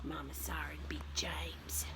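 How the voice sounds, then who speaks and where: A woman with an Australian accent reading a picture book to young children, adding chatty, affectionate asides in an expressive way. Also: low-pitched, a little slow, whispered. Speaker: children's storyteller and teacher.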